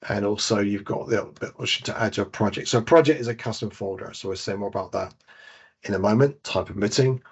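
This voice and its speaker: A man with a British accent leading a software demonstration webinar as a database trainer. He explains each step while he clicks through the screens and corrects himself now and then. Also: on the low side, fast talker, breathy edge. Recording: dull lo-fi webinar audio.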